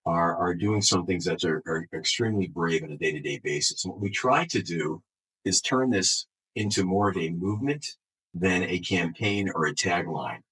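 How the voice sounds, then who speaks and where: A man speaking as an executive guest in an interview, answering off the cuff in a halting way, repeating words and restarting phrases. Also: deep voice, a bit bright, breathy edge, low-quality recording. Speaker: health system chief executive, interview guest.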